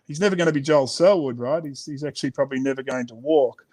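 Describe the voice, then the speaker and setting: A man's voice: mid-range voice, fast talker, darkish tone. Earnest Australian man in conversation. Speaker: children's author, interview guest. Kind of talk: casual conversational interview.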